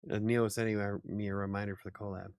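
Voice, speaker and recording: A man hosting a casual after-hours chat show, rambling, laughing often and swearing now and then, with a slightly raspy voice. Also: fairly low pitch, little pitch movement, very fast, a little mellow, no room sound, clean studio sound. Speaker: host.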